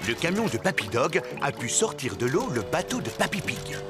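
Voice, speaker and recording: A man narrating a cartoon in a calm storybook manner. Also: on the high side, moderate pace, music in the background. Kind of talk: scripted narration.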